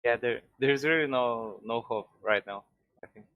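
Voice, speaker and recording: A man from Bulgaria, calling in to a stream and talking in a halting way with many restarts. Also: medium pitch, dry recording, narrow, tinny audio. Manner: halting, restarts often, laughs easily. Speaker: caller. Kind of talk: casual chat.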